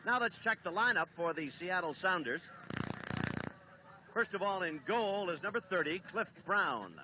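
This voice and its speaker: A man doing play-by-play on a 1970s television soccer broadcast, briskly reading lineups and standings. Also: high-pitched, expressive, background noise. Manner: brisk, reads lineups and stats. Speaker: play-by-play announcer.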